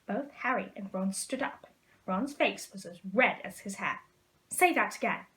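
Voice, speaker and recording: A woman's voice: medium pitch, a little slow, sharp tone, rough recording. A woman reading a storybook aloud as a storyteller. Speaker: storyteller in character as a witch. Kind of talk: storybook read-aloud narration.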